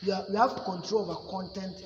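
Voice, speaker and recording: A man's voice: high voice, steady tone, fast talker, highs cut off, a little hiss or hum. A man with a Ghanaian accent teaching a public speaking class in an emphatic way, often asking "you see?". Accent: Ghanaian English. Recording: echoey room with long reverb.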